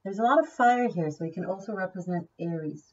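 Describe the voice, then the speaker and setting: A woman's voice: lowish voice, lively intonation, a little fast, a little mellow, breathy edge, distant mic, muffled recording. A woman whose first language is Hebrew, giving a casual tarot reading, chatty and thinking aloud, often repeating key words. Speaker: tarot reader.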